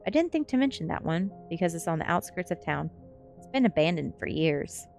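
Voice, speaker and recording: A woman's voice: fairly low pitch, very animated, a little fast, creaky, a little mellow, soft background music. A woman narrator voicing a helpful, matter-of-fact museum worker in conversational storybook dialogue.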